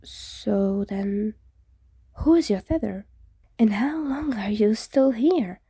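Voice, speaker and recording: A woman's voice: mid-range voice, animated, slow pace, dark tone, breathy edge, no room sound, heavily acted. A woman voicing a wary, blunt and inquisitive schoolboy in a storybook reading, insistent and questioning. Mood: curious, rapid.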